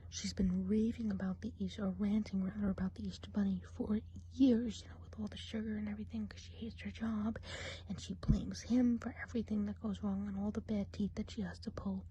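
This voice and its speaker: A woman voicing a gossipy woodland witch in a breathy, confiding whisper for an ASMR roleplay. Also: medium pitch, flat delivery, fairly quick, a little hiss or hum, close to an everyday voice. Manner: gossipy, confiding, soft-spoken. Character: gossipy woodland witch giving a whimsical eye exam.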